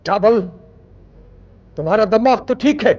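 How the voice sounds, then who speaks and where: An actor playing a haughty colonial English gentleman in a television period drama, speaking in curt, clipped, imperious phrases. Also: sits high, lively intonation, unhurried, highs cut off. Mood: indignant.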